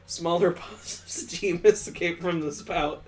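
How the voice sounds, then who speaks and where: A man reads a visual novel aloud as a gaming host. His voice sits a little high. He narrates expressively and slips into casual commentary between lines. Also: steady tone, slow pace.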